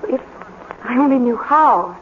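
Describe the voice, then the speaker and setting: An actress playing a timid, humble young theater fan, hesitant and earnest, in an old radio drama. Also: female voice, on the high side, lively intonation, unhurried. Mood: wistful, hesitant. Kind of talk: 1950s radio drama acting. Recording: muffled, narrow-band old radio broadcast with noise.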